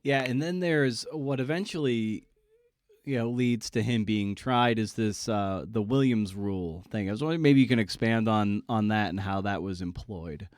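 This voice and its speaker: A man hosting a conversational podcast interview, halting as he searches for his words. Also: on the low side, darkish tone, no room sound.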